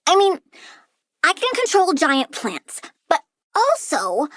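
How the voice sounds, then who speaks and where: High, girlish plant villainess, wildly animated. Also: takes it slowly, dry recording, studio quality, heavily acted. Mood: playful. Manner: childlike, chirpy, sardonic, theatrical. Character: girlish young plant-controlling villainess, perky, morbidly cute, disdainful of humans. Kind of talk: video game character dialogue.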